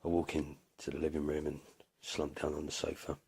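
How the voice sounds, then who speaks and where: A man playing a weary survivor in an audio drama, speaking in a subdued, halting, gravelly way. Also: a bass voice, monotone, a little mellow, exaggerated voice. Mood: ill, weary. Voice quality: gravelly, husky. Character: weary male English survivor Isaac keeping an apocalypse journal. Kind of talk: audio drama first-person journal narration.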